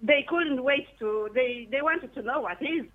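A woman from Romania calls into a radio show, answering in short, simple English with a non-native lilt. Her voice is high. It comes through a thin, tinny phone line. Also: measured pace. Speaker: caller.

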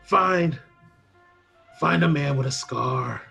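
A husky-voiced small-time crook of an informant as acted in a radio play, slangy and wary. He grumbles like a street tough in comic old-time style, over music. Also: mid-range voice, takes it slowly, bright, forceful tone, full character voice. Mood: dying, strained.

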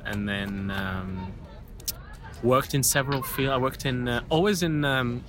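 A young man from Syria telling his life story casually in an interview, relaxed and quick to laugh, recorded on a phone. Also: medium pitch, background noise. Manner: relaxed, self-deprecating, laughs easily. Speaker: interview guest. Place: room with a piano. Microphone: iPhone recording. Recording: rough phone recording, loud piano behind. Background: loud piano music.

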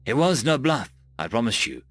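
A man voicing a laid-back human cargo ship captain who makes offhand, calm threats. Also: medium pitch, slightly rough, no echo. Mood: cool. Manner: unhurried, offhand, coolly menacing.

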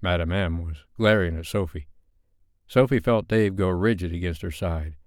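A man's voice: low-pitched, moderate pace, no echo, clean studio sound. A man narrating scripted fiction as an audiobook reader.

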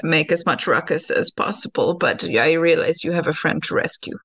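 A woman's voice: sits low, moderate pace, fairly bright tone, slightly rough. A woman playing a tabletop roleplaying game, chatting casually as she proposes moves.

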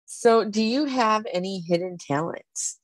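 A woman's voice: mid-range voice, unhurried, rough recording. A woman hosting a casual interview, friendly and asking her guest questions. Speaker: podcast host.

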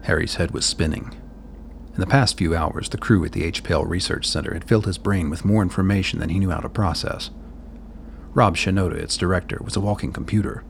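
A man's voice: low-pitched, a little fast, creaky, slight background noise. A man narrating a scripted radio play as its storyteller.

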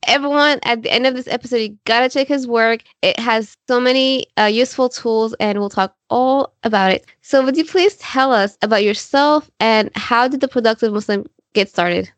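A woman's voice: fairly high pitch, moderate pace, few pauses, no room sound. A woman fitness coach hosting her own podcast, upbeat and welcoming as she introduces and praises her guest.

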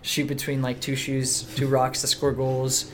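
A man's voice: medium pitch, measured pace. Young male podcast guest, easygoing chat, fillers everywhere, faint noise behind. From Mexico City. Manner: relaxed, many fillers like "um" and "like". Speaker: podcast guest, soccer player. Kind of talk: casual interview chat.

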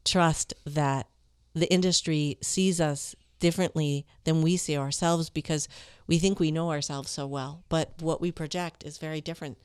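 A woman voice actor with a low, smooth voice that carries some authority, telling stories from her career in an interview and acting out the dialogue. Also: normal speed, few pauses, dry recording, studio quality. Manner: animated, tells stories with quoted dialogue. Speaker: voice actor guest. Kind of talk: conversational interview storytelling.

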